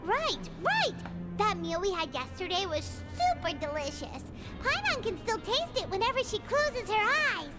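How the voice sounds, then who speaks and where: Tiny chirpy game fairy: very high childlike voice, curious, excitable, loud music underneath. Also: female voice, moderate pace. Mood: delighted. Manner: exclaims, speaks of self in third person. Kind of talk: video game voice acting.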